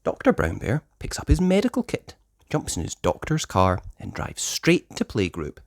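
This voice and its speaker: A storyteller reading a children's picture book aloud with expressive, measured scripted narration. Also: deep voice, no room sound, clean studio sound.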